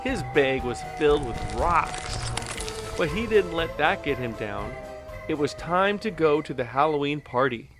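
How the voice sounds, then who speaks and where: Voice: medium pitch, expressive, unhurried. A narrator reading a children's storybook in a scripted read-along style. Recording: music bed under the voice.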